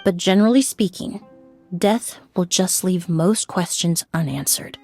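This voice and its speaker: A woman voicing a composed, analytical investigator in a video game, with a measured, reflective and articulate delivery. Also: fairly low pitch, a little mellow, faint music behind. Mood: calm, solemn. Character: composed, analytical woman, crime scene cleaner turned investigator.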